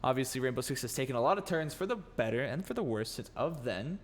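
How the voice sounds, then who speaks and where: A man's voice: medium pitch, lively intonation, quick pace. A man hosting a casual podcast, joking and teasing his guest and swearing freely. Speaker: podcast host. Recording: long room reverb, faint noise.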